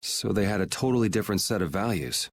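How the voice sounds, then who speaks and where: A man's voice: on the low side, expressive, quick pace. An actor playing a casual, cool-headed young swordsman in a game, with a wry, laid-back manner. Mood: curious, wry. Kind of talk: English game dub acting.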